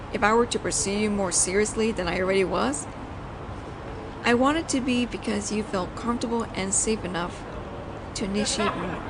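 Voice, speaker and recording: A woman's voice: fairly high pitch, background noise. A woman voicing a flirtatious, doting mafia boss in an intimate roleplay audio drama, teasing and considerate as she talks to her lover. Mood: earnest, tender. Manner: soft-spoken flirting, teasing, pauses as if hearing replies.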